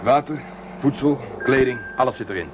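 Man playing a brisk starship crewman. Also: medium pitch, highs cut off, noisy background, close to an everyday voice. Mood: friendly. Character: resourceful male crewman Telsen, caring. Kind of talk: radio drama acting.

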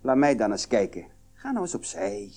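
An actor playing a worried, fussy old grandfather with a husky voice in a children's audio play. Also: fairly low pitch, sing-song, normal speed, a little mellow. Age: older. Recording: old cassette, faint music behind.

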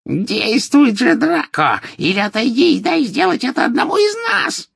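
A spiteful witch shrieks in a piercing voice pitched far above the reader's own. A man does her slowly and loudly, with a dry, close sound. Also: sing-song, creaky, slightly rough, dry recording, heavily acted. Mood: impatient. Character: shrill spiteful witch, adult woman.

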